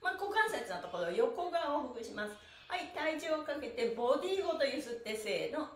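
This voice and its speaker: High, animated woman instructor in a roomy space. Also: fairly quick. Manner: cheerful, encouraging, sing-song chanting while exercising. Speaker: stretching instructor. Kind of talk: upbeat exercise class with rhythmic counted chants. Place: small bare-walled room indoors, on a floor mat. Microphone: camera a few metres away. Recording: roomy echo, distant microphone.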